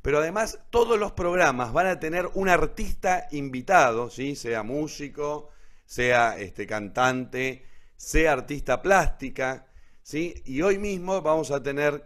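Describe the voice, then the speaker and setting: A man's voice: on the high side, fairly bright tone. A man hosting a live online show, explaining things and checking in with short tag questions. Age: adult, teacher and writer. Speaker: host. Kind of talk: presenting a live online radio theatre show.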